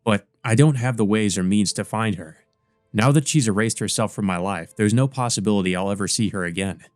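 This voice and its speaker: A man's voice: on the low side, a little fast, dry recording, soft background music. A man hosting a let's play, reading a visual novel aloud with casual asides. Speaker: let's play host and reader.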